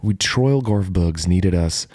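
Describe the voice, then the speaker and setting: Male podcast co-host. Low voice, dry close sound, mock-serious riffing, loose comic banter. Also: animated, slow pace, darkish tone, dry recording. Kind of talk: casual comedic banter.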